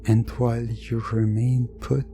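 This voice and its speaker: A narrator giving a hypnotic ASMR roleplay in a deliberate, repetitive way, sometimes slipping into a near-whisper. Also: medium pitch, little pitch movement, warm tone, faint music behind. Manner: deliberate, repetitive phrasing with lingering pauses.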